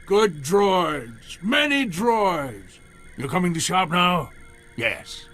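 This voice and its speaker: An actor voicing an eager alien droid merchant with a gravelly voice and clipped, broken, repetitive phrasing for a game. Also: male voice, sits high, big pitch swings, drawn-out words, a bit bright, soft background music, heavily acted. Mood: eager haggling.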